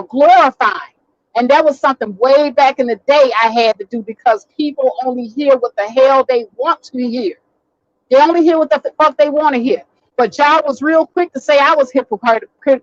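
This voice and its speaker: Woman, high voice, blunt, animated, swearing, slightly hoarse, live stream from a car, dry dull sound. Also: dry recording. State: getting hoarse. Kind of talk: casual live stream commentary. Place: in a vehicle, driving.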